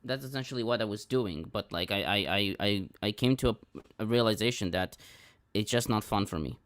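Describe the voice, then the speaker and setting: Hesitant low-voiced Israeli man. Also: fairly quick, fairly bright tone, no room sound, studio quality. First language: Hebrew. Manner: hesitant, stumbling, repeats himself. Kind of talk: casual panel discussion.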